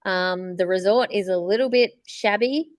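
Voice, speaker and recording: A woman chats as a podcast co-host in a medium-pitched voice. She lays things out at length and with enthusiasm. The sound is dry, with little room around her. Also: moderate pace, dry recording. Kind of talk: casual podcast chat.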